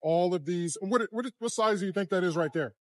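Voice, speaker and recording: Low-voiced woman chiming in quickly. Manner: quick, chatty, chimes in with corrections. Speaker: co-presenter adding commentary. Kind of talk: casual chat. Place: busy indoor trade expo floor.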